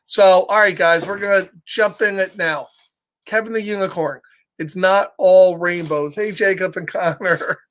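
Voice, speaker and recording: A middle-aged man hosting a live children's story time, reading aloud with animated, playful asides and questions to his listeners. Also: high-pitched, measured pace, a little hiss or hum. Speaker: storybook read-aloud host. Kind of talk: live children's story time, chatting with viewers between lines of the book.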